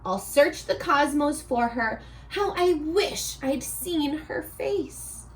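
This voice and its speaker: A woman's voice: high voice, animated, slow, distant mic, slight background noise, low-quality recording. A woman voicing an eager, earnest young prince in a children's storybook, with dramatic exclamations. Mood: wistful.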